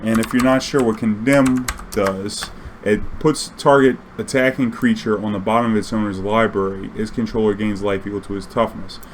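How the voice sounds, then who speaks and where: A man casually telling an anecdote in a slangy, swearing, animated way, acting out bits of dialogue. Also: on the low side, moderate pace, slight background noise. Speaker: storyteller recounting his own tournament games.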